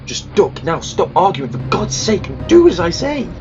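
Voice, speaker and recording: A man's voice: high voice, wildly swooping pitch, normal speed, a little mellow, lo-fi sound, faint music behind. A man playing an eccentric, impatient alien time traveler in an audio drama, with a flustered, blunt delivery. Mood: urgent.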